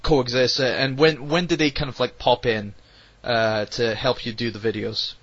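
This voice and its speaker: A British man hosting a casual interview, speaking in a rambling, halting way. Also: mid-range voice, fairly even intonation, a bit bright, no echo. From United Kingdom. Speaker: podcast host. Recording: dull lo-fi audio.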